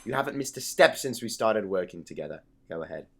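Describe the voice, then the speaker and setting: A man's voice: on the low side, lively intonation, fast talker, very noisy. A man playing a genial, confident house chef in an audio drama, familiar and kindly bossy. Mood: genial, teasing.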